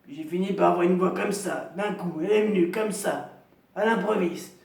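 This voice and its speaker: A man doing a gravelly boozy middle-aged woman. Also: darkish tone, roomy sound, recorded from a distance. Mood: rambling. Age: 50s. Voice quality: gravelly, deep voice. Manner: slow, rambling, repetitive, self-pitying. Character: gravelly 55-year-old woman, chain-smoking hard drinker, proud and lonely. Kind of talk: comic character monologue.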